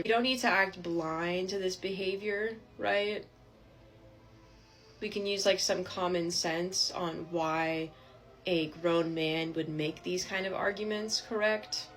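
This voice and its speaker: A woman with a slightly low voice gives indignant reaction commentary over faint music. She punctuates her points with rhetorical checks on the listener. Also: fairly bright tone, poor audio. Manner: indignant, tags lines with "okay?".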